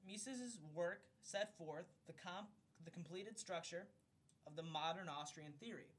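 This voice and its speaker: A man's voice: a little slow, fairly bright tone. A slightly drunk man reading an economics text aloud in an amateur way, declamatory on the page and loose and profane in casual asides. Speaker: amateur reader of a bedtime recording for a friend. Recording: homemade recording with low rumbling noise.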